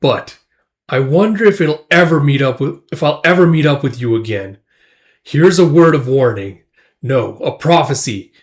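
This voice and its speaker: A man's voice: mid-range voice, expressive, moderate pace, close to an everyday voice. A man voicing an immortal demon warrior from a comic, with solemn, grandiose, menacing pronouncements. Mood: ominous, prophetic.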